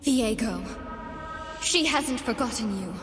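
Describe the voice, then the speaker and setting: A woman playing a young doll-like champion in a video game, gentle but resolute, speaking with earnest precision. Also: a little high, expressive, slow pace. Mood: firm, challenging. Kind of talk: video game character voice lines. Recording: long reverb, music underneath.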